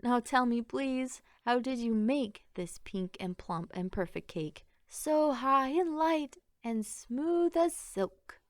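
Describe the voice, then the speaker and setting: A woman voicing an excited, delighted storybook mother with a gushing, exclaiming manner. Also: high voice, takes it slowly, hardly any pauses, no room sound, clean studio sound. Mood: delighted, amazed. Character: delighted mother, excited over her birthday surprise.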